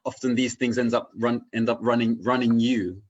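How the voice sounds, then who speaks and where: A man talking casually and reflectively as a guest, haltingly searching for his words and often checking in with "right?". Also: mid-range voice, steady tone, lo-fi sound. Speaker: interview guest on spirituality.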